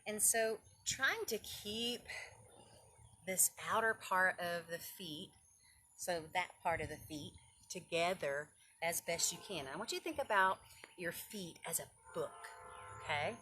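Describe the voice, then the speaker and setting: Female kids' yoga instructor and storyteller, lowish voice, simple encouraging guidance, slightly noisy recording. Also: measured pace, pushed and bright. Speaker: children's yoga teacher and storyteller. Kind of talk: informal instruction and picture-book storytelling for children.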